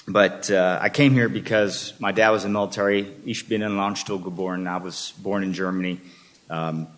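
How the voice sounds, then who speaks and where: Low-voiced retired man giving public comment. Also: a bit bright. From Texas. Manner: measured, lists points one by one. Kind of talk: public comment at a city meeting.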